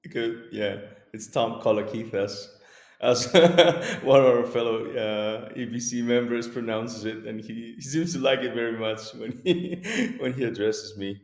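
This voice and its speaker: A man in his forties from Greece, a guest in a casual video-call interview, talking in a relaxed way, chuckling now and then, with many fillers. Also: medium pitch, unhurried, echoey room.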